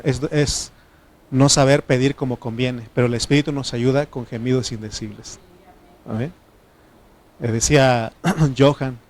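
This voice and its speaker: A man's voice: medium pitch, darkish tone. A middle-aged man from Mexico with a Mexican Spanish accent, whose first language is Triqui, preaching a folksy sermon and asking the congregation for responses. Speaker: pastor.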